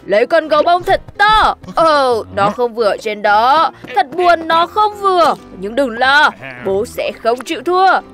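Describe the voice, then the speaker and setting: A woman voicing an earnest, resourceful lion in a children's cartoon, with an expressive, singsong rhyming delivery. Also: high voice, measured pace, hardly any pauses, heavily acted. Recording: light music behind the voice.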